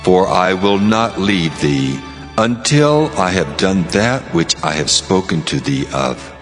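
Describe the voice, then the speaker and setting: A man's voice: low-pitched, sing-song, slow, exaggerated voice. A man playing God as a solemn, stately, commanding voice in a dramatized scripture reading. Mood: solemn, promising. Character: God, solemn commanding divine voice making promises. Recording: long cavernous reverb. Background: music.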